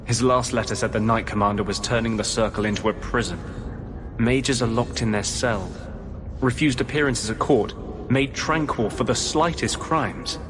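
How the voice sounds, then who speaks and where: An actor voicing an earnest, defiant mage healer with a measured, intense delivery in a dramatic video game. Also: male voice, mid-range voice, background noise, natural voice. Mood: earnest, determined. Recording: music behind the voice.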